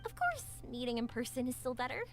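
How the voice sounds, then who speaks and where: A woman's voice: high-pitched, wildly swooping pitch, fast. A woman voicing a cheerful, spirited young fireworks maker in a video game, upbeat and affectionate. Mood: warm. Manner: bright, upbeat, affectionate, giggly. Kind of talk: game voice acting. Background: game music.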